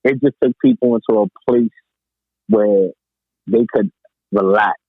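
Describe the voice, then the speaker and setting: Over a thin, phone-like line, a man with a lowish voice talks casually as a podcast guest. He circles back to the same phrases for emphasis, and there is almost no room sound around him. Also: measured pace, no echo. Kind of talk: casual music discussion. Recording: tinny, phone-like radio sound.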